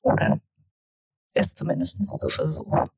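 A woman in her thirties from Ukraine, a podcast guest telling her own story thoughtfully, with many pauses and fillers. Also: darkish tone, dry recording, studio quality. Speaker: guest, educator. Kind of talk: personal storytelling in a podcast interview.

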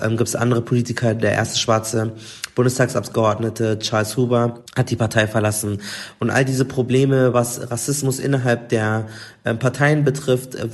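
A man's voice: mid-range voice, darkish tone. Chatty male podcast host. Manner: chatty, loose with fillers. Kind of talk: casual podcast intro.

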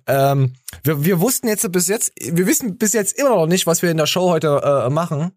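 Joking podcast host, dry close sound. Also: male voice, a little high, expressive, normal speed, no echo. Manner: joking, teasing, full of fillers and restarts. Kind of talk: casual podcast chat.

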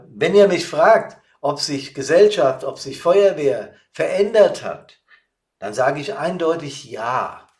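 A man's voice: medium pitch, slightly rough. A man, hosting a podcast in a casual, friendly way with frequent fillers. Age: 60s. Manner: chatty, warm-hearted, with frequent fillers. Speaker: podcast host and fire service trainer. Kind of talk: casual podcast talk and live session.

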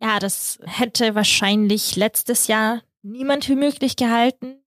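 Calm woman explaining, dry sound. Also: a little high, measured pace, no room sound. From Kazakhstan. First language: Russian. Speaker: podcast co-host. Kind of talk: conversational explaining.

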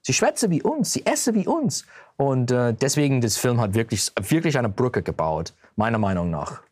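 A man's voice: mid-range voice, normal speed, dry recording, clean studio sound. Man speaking learned German. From Pennsylvania, USA. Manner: searching for words, animated. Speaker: guest. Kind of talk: interview answer.

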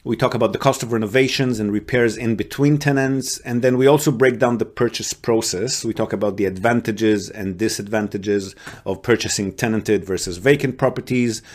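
A man hosting and advising on property investment, talking through loans in an explanatory way with frequent ums and restarts. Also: fairly low pitch. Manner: explanatory, fills pauses with um and uh, restarts phrases. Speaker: real estate advisor and podcast host. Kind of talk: business call recording, casual advisory.

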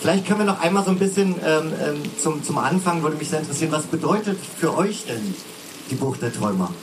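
A man's voice: a little high, lively intonation, fast talker, background noise. A man hosting a live podcast panel, speaking casually and loosely as he asks questions. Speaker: podcast host moderating a panel. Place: festival tent in the rain.

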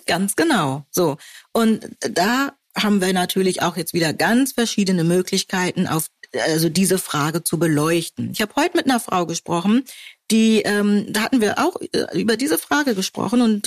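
A woman from Germany co-hosting a podcast, telling stories wryly and quoting people in lively voices. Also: mid-range voice, no room sound, clean studio sound. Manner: wry storytelling, quoting others in lively voices. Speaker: podcast co-host. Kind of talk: casual conversation.

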